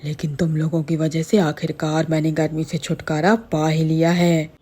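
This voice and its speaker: Casting: woman to play a cartoon witch, low-pitched, bossy, sly and menacing, with drawn-out threats. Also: little pitch movement, a little mellow, slightly rough. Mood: menacing, relieved. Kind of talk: cartoon horror-comedy story acting.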